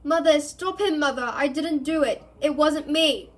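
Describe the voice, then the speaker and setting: A woman's voice: high-pitched, measured pace, pitched above the performer's own voice. A woman voicing a terrified young man in chains, shrieking and begging. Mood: pleading shrieks.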